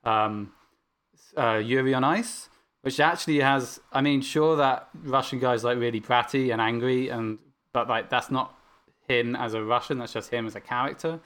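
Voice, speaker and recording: A man's voice: mid-range voice, normal speed, fairly bright tone. A man in casual podcast conversation, talking in a rambling way with many fillers and restarts, and blunt about what he dislikes. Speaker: podcast co-host discussing anime. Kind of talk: casual chat.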